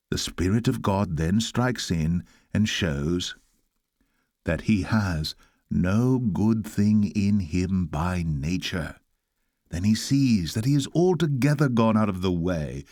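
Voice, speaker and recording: A man's voice: on the low side, darkish tone, no room sound, clean studio sound. A man reading an old sermon aloud in a solemn, oratorical style, exhorting listeners with weighty rhetorical delivery. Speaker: reader of a historic sermon.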